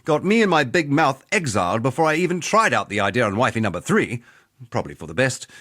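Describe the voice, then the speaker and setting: A middle-aged male mercenary from a fantasy video game, a seasoned master of blades. His delivery swings widely in pitch, full of roguish swagger and wry humor. He talks like a jovial, boastful old campaigner who enjoys salty banter. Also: sing-song, fast, fairly bright tone. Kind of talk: scripted game character dialogue.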